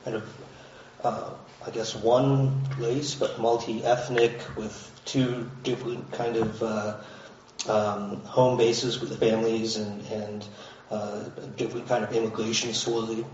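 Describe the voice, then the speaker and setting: A man introduces himself on a panel in a low, even voice that barely rises or falls, stumbling through many uhs and restarts. He is far from the microphone, and his voice is dulled and washed in heavy room echo. A faint noise sits underneath. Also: a little slow. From United States. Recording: distant, dull, very echoey room.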